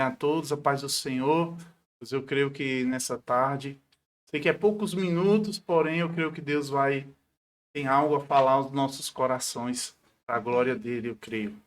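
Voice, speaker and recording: A man of about forty, a pastor speaking devoutly as an interview guest, often adding short tag questions. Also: medium pitch. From Paraíba, northeastern Brazil. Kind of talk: devout personal testimony in a conversational interview.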